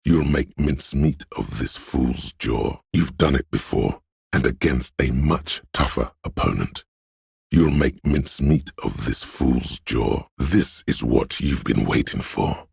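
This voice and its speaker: An actor voicing a video game's primal fight-or-flight instinct, a menacing, paranoid inner voice that goads in a deep, gravelly rasp, slow and ominous. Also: male voice, a little mellow, no echo, clean studio sound, exaggerated voice. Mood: goading aggression. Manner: slow, ominous, goading, profane. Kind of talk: dramatic video game voice acting, second-person inner voice.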